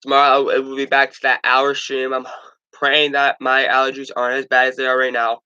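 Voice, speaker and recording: Young man, stuffed-up nose, sports stream host, rambling restarts, bright tone, muffled lo-fi sound. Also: medium pitch, monotone, fairly quick, smooth. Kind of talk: casual solo sports talk stream.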